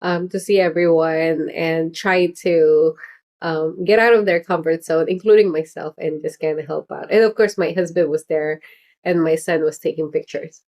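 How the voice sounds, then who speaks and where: A middle-aged woman chatting loosely on a livestream, her voice sitting a little low. She rambles, restarts and fills gaps with "um" and soft laughs, warmly casual and unscripted. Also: steady tone, moderate pace. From Philippines heritage. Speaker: political candidate hosting a livestream.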